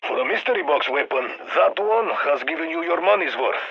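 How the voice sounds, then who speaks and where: Game military handler: Russian accent, stern and dry, commanding, rough husky rasp, muffled radio filter. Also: male voice, a little high, lively intonation, a little fast, heavily acted. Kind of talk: scripted game voice lines, mission briefing.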